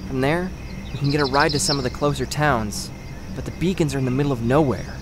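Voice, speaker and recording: An actor playing a thoughtful, cautious leader of an adventuring party in a fantasy audio drama. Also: male voice, medium pitch, fast talker, noisy background, natural voice. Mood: measured, cautioning. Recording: outdoor ambience with birds, crickets. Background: birdsong and crickets.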